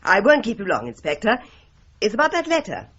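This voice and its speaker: An actress playing a brisk, no-nonsense office worker with a husky voice. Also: female voice, mid-range voice, huge pitch swings, a bit bright, full character voice. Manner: crisp, brisk. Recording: dull lo-fi audio.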